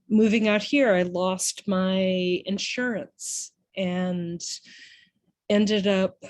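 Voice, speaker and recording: A woman giving public comment at a town meeting, earnest and halting, with many pauses and restarts. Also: on the low side, darkish tone, no echo.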